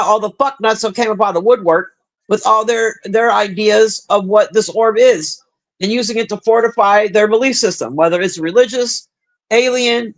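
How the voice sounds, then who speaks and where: A middle-aged woman with a deep, husky smoker's voice, chatting profanely and bluntly with her livestream viewers. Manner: profane, blunt, animated banter. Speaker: livestream host reading chat. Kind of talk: casual livestream chat.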